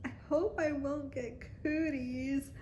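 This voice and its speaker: A little storybook mouse, earnest and full of schemes, as a woman reader puts him on. He coaxes in a fairly high voice at a slow, deliberate pace. The figure stays close to a gentle read-aloud delivery. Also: fairly even intonation, reverberant, a little hiss or hum. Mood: anxious, urging.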